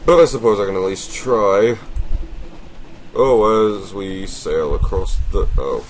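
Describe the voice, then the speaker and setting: A man with a deep voice hosting a let's play, chatting casually and reading game text aloud in a polite, apologetic way that addresses the viewer formally. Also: animated, slow, a little hiss or hum.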